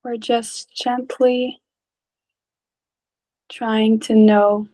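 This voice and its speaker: A woman leading a guided meditation in a gentle, calm, unhurried way, leaving long pauses between short phrases. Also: a little high, low-quality recording. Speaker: meditation teacher.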